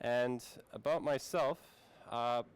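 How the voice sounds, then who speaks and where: A young man born in Finland presents a tech talk in a friendly, relaxed way. His voice is smooth and clear at a middle pitch, and the sound is dry and close to the mic. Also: takes it slowly, dry recording. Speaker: conference speaker.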